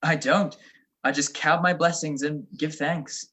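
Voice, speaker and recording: A woman's voice: low-pitched, fairly even intonation, measured pace, fairly bright tone. A woman playing a breezy, evasive married male detective in a radio play, wry and slangy. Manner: wry, slangy, deflecting questions.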